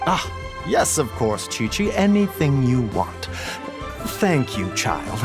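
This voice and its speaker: An actor playing a genteel, sly doctor and merchant in a video game, courteous, silky and insinuating. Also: male voice, mid-range voice, big pitch swings, takes it slowly, vocal fry, exaggerated voice. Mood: polite slyness. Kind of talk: video game dialogue acting. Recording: music playing behind. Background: background music.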